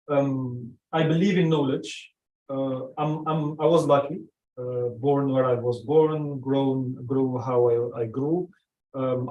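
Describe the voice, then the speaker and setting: A man's voice: mid-range voice, a little mellow. A middle-aged man, a native Arabic speaker, giving an informal talk to a small group in an emphatic way, repeating phrases for weight. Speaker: speaker at a community meeting on personal branding. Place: indoor meeting room. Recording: echoey room, far from the mic.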